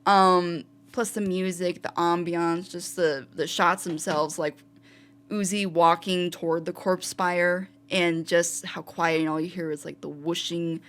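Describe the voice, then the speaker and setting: A woman giving a casual fan tribute, rambling and thinking out loud as she goes. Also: on the low side, steady tone, a little slow. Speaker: fan contributor.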